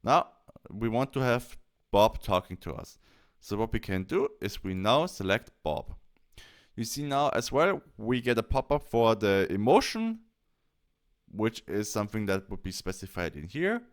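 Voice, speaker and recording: A man presenting a software tutorial as a voice-over, explaining things step by step in plain, short instructional phrases. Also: on the low side, big pitch swings, a little slow.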